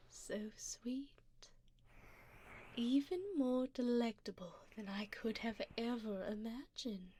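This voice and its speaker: Seductive, doting vampire benefactress, played by a woman for ASMR roleplay: very slow, husky whispers, slightly high, genteel and intimate. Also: a little mellow, slight background noise. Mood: savoring, delighted. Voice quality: breathy, mostly whispered. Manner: slow, tender, genteel endearments. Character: doting, seductive wealthy female vampire sugar mommy. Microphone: close binaural microphone.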